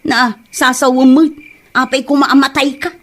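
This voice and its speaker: A woman's voice: sits high, fairly even intonation, normal speed, slight background noise, close to an everyday voice. A woman with an Ilocano accent playing a stingy, stubborn village wife in a comedy drama. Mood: irritated.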